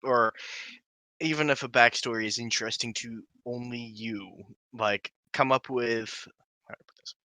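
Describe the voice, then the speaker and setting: A man's voice: medium pitch, steady tone, moderate pace, bright, forceful tone, no room sound. A man co-hosting a casual podcast, chipping in with wry, mock-earnest remarks. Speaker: podcast co-host.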